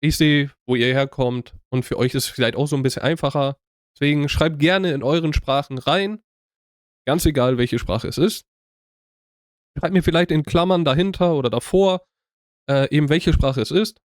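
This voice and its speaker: A young man hosting his own channel, talking casually to his viewers and rambling with many fillers and restarts in relaxed slang. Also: fairly high pitch, moderate pace, no echo, clean studio sound. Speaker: YouTube reaction channel host.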